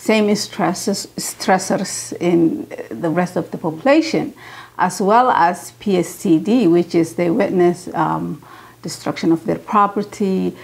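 A middle-aged woman talks slowly and haltingly in an interview. Her voice is husky and creaky. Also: lowish voice, a little mellow. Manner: halting, searching for words. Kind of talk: documentary interview. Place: quiet room indoors.

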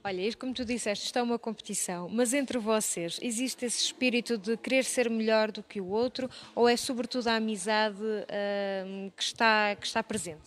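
A woman's voice: fairly high pitch, steady tone, moderate pace, few pauses. A woman reporter with a European Portuguese accent, asking short, friendly questions into a handheld mic in a library hall. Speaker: local TV reporter. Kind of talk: interview questions.

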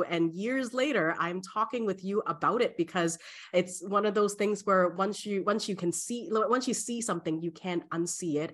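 Lower-voiced middle-aged woman on video call. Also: fairly bright tone. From born in Hong Kong, in Canada from age ten. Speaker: interview guest, career educator. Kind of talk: conversational interview answers. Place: small bright room at home, video call.

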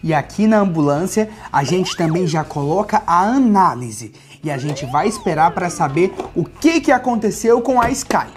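Animated young Brazilian man hosting for kids. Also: high voice, normal speed, few pauses. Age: young adult. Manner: animated, simple child-directed phrasing. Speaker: children's video host playing a toy doctor. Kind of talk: playful children's storytelling presentation. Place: small furnished playroom studio, indoors. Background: background music.

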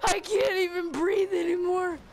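High, giggly woman gamer. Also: fairly even intonation, normal speed, darkish tone. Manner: giggly, constantly laughing and squealing. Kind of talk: casual gaming chat.

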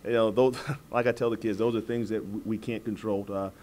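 An American man in his forties, a football coach answering press questions in a measured, halting way, repeating words as he goes. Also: lowish voice, flat delivery, a little mellow, a little hiss or hum. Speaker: college football head coach. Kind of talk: postgame press conference answers. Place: indoor press room at a table before a backdrop. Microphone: several press microphones on the table.

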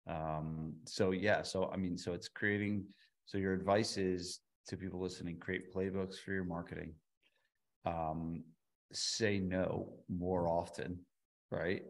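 A man's voice: low voice, level tone, unhurried. A man hosting a podcast interview, summing up a guest's advice point by point in a casual way. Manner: sums up advice in numbered points. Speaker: podcast host.

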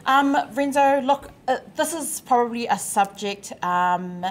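A woman's voice: fairly high pitch, animated, a little slow. A woman, hosting a casual studio chat with many fillers, close to a desk mic. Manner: warm, chatty, many fillers. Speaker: talk show host. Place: small indoor studio. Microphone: headset with desk mic.

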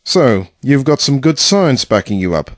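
A man's voice: mid-range voice, sing-song, normal speed, close to an everyday voice. A man playing a blunt, dismissive, hard-nosed investor in an audio drama, curt and sardonic in manner. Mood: skeptical, brisk.

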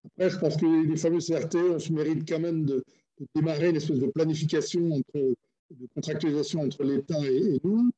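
A man's voice: on the high side, fairly even intonation, a little fast, warm tone, lo-fi sound. A man speaking as a local government official on a panel, giving his opinion while searching for words.

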